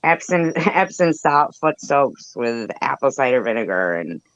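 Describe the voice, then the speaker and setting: A woman's voice: rumbling low, very animated, measured pace, no echo. Dry, muffled-sounding woman in her fifties, rambling. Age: 50s. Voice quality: no teeth. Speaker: livestream panel host. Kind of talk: candid casual group chat. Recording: dull lo-fi call audio, very dry.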